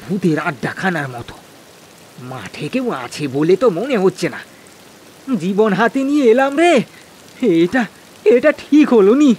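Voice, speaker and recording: A man's voice: high voice, big pitch swings, a little slow, pauses often, darkish tone, a little hiss or hum. A man voicing an anxious, stammering village farmer in a cartoon, with rustic speech. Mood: uneasy dismay. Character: anxious, hard-working village farmer, timid husband. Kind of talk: cartoon voice acting.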